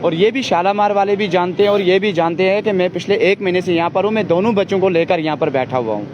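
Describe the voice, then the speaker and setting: Man, worried father, quick urgent pleading, slightly high voice, muffled outdoor news audio. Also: noisy background. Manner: urgent, pleading, rapid complaints. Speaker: father of a sick baby, interviewee. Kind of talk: distressed street interview.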